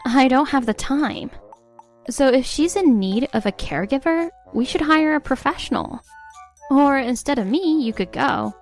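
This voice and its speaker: An exasperated young wife. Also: female voice, sits high, animated, moderate pace. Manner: exasperated, sarcastic. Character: fed-up young wife narrating her story. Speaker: narrator and wife. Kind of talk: scripted narration. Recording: faint music behind.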